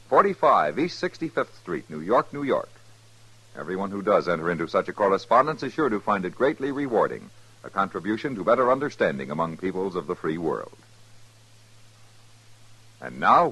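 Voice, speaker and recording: A man's voice: low voice, big pitch swings, moderate pace, fairly bright tone, slightly rough, poor audio. A man with an American accent reading a script as a formal, emphatic 1950s radio announcer. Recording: old radio broadcast, faint music.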